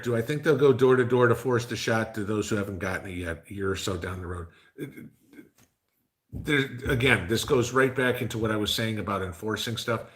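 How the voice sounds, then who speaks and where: A man's voice: fairly low pitch, a bit bright. A man hosting a casual live stream, reading viewer comments and answering them in a rambling, conversational way full of fillers. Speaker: livestream host reading chat.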